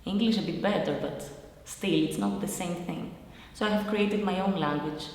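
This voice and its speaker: A woman's voice: fairly low pitch, moderate pace, darkish tone. A young woman with a Greek accent, a native Greek speaker, giving a reflective personal account in simple, searching non-native English. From Greece. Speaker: interviewee, immigrant living in Italy. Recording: very echoey reverberant hall, faint noise.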